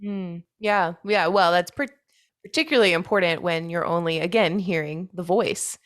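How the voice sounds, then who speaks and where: A woman's voice: fairly low pitch, moderate pace, a bit bright. A woman co-hosting a casual interview, agreeing and encouraging as she talks with her guest. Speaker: podcast co-host interviewing a guest.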